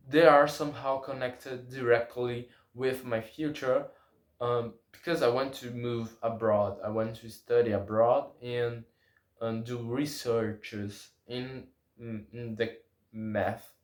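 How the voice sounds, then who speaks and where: Young guy, portuguese is his first language, talking english slow and halting, echoey room. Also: mid-range voice. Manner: halting, searches for words in a second language. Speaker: language-learning vlogger. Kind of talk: unscripted personal monologue.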